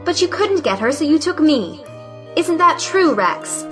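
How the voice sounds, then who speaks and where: A woman's voice: sits high, big pitch swings, a little slow, music in the background. An actress playing a proud, frank young woman in an audio play, speaking with emphatic dignity. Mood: accusing. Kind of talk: audio play acting of a 1910s stage comedy.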